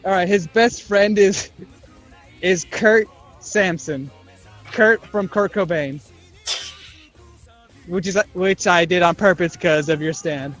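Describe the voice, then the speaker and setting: High-voiced young man joking around. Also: slow, pauses often, a bit bright, soft background music. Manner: jokey, profane, acts out bits of dialogue. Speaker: podcast co-host. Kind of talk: casual improvised fan banter.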